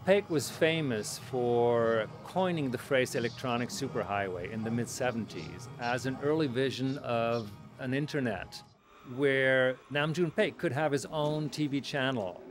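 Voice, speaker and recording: Male interviewee, unhurried and explanatory, mid pitch, loud music underneath. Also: expressive.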